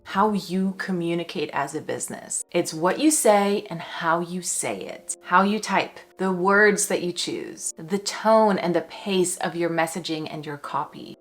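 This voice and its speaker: A young woman hosting her own vlog, a copywriter talking to camera in an upbeat, playful way with chatty asides. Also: fairly low pitch. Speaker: copywriter and brand strategist, channel host.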